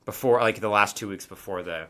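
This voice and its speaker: A man's voice: lowish voice, brisk, fairly bright tone. A man working as game master, narrating a tabletop game in casual, improvised chat with asides and hesitations.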